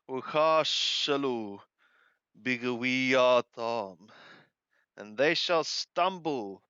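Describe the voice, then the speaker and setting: A man reading scripture aloud and translating it phrase by phrase, halting and deliberate, correcting his wording as he goes. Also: a little high, sharp tone, clean tone, no echo. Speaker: amateur Bible reader and translator. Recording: dull lo-fi close recording.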